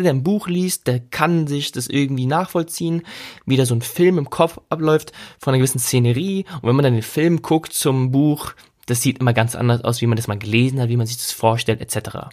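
Casual, emphatic male podcast host. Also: mid-range voice. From Germany. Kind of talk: casual, reflective solo podcast talk.

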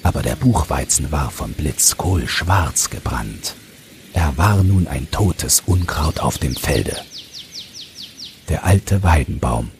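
A man narrating a scripted fairy tale as a storyteller. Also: very deep voice. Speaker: storyteller and podcast host. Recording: faint background noise.

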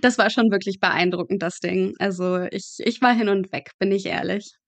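A woman's voice: medium pitch, fairly even intonation, moderate pace, no room sound. A woman in her twenties, a medical student being interviewed, telling vivid stories in a frank, matter-of-fact conversational way. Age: 20s.

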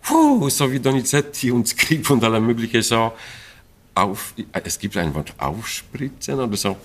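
Polish-accented man, halting animated German. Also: mid-range voice. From Poland. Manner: halting, searching for German words, frequent fillers and restarts; animated, laughs often. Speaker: opera stage director. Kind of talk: interview about an opera production.

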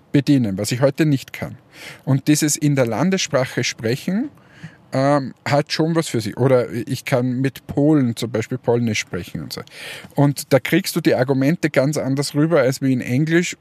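A man co-hosting a casual podcast chat, talking in a relaxed, joking and speculative way. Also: mid-range voice, dry recording. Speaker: podcast co-host.

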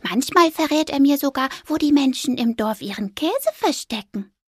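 A woman voicing a small, lively female mouse in a storybook, chirpy and a bit bossy. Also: high voice, moderate pace, dry recording, clean studio sound, pitched above the performer's own voice. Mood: cheerful. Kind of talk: storybook character acting.

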